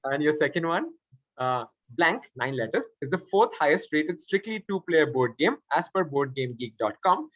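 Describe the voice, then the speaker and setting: A man's voice: on the high side, expressive, moderate pace, fairly bright tone, no echo, poor audio. A man hosting a quiz, reading out questions and confirming answers. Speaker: quiz master. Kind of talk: reading quiz questions aloud, with spoken asides.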